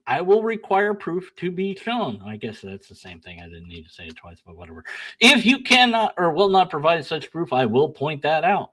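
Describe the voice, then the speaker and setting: Young male host for a solo commentary stream: bright, fairly high voice, sarcastic and blunt, often laughing at himself. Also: rough recording. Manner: blunt, argumentative when reading his replies. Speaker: channel host. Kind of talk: casual solo commentary, reading emails aloud.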